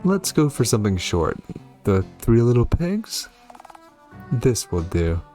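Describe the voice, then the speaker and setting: A man reading a story game aloud in an expressive storybook style. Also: medium pitch, slow pace, faint music behind. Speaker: gaming YouTuber reading a game's story aloud.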